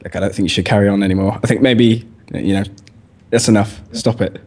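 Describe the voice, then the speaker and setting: A man's voice: on the low side, fairly even intonation, fairly quick, darkish tone. A British man co-hosting a radio show with casual, jokey, self-mocking banter. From southern England. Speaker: radio co-host.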